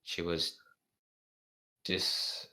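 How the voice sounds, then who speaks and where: A man co-hosting a casual amateur read-aloud of fan fiction, halting and often stumbling over his words. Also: bright, forceful tone.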